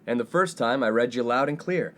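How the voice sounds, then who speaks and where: A man's voice: medium pitch, a little fast, fairly bright tone, natural voice. A man playing a brash, self-absorbed college playboy in a comedic radio play, breezy and self-important. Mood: glib. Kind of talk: comedic 1950s-set radio play acting. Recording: faint background noise.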